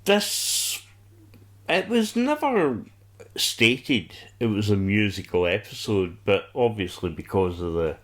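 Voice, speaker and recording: A man chatting casually as a podcast co-host, blunt and halting in how he gives his opinions. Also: fairly low pitch, very animated, a bit bright. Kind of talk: casual review chat.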